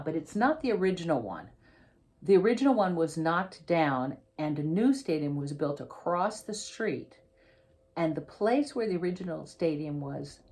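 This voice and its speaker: A woman with a low-pitched voice reads a picture book to children. She is an author presenting the work expressively, speaking straight to her young listeners and explaining things as she goes. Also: a little mellow. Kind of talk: picture book read-aloud with explanatory asides.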